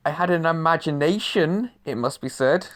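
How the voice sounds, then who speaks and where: A man's voice: fairly high pitch, normal speed, fairly bright tone, natural voice. A man playing the pompous ghost of a murdered novelist, dithering and self-important, in an audio drama. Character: pompous dead male novelist, now a ghost.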